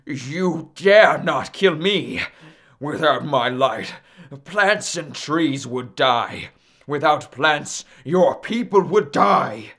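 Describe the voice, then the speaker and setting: A man playing a mighty, haughty sun god in a storybook tale, blustering and commanding in a drawn-out delivery. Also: on the high side, lively intonation, fairly bright tone, heavily acted. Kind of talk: storybook character acting.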